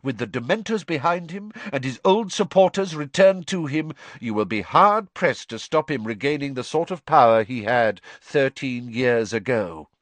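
A man voicing a calm, commanding old wizard headmaster who speaks coolly and with measured authority. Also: mid-range voice, no room sound, voice pushed up, close to an everyday voice. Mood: grave. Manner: cool, measured.

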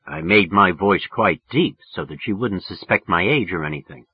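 A man's voice: low-pitched, animated, moderate pace, highs cut off. A man narrating a novel in the first person, in a colloquial, confiding way. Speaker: audiobook narrator.

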